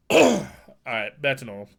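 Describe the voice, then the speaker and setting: A man co-hosting a gaming podcast, with a nasal but bassy voice, talking loosely, joking and swearing freely. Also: vocal fry, husky. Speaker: podcast co-host. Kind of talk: casual gaming chat. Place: home streaming setup.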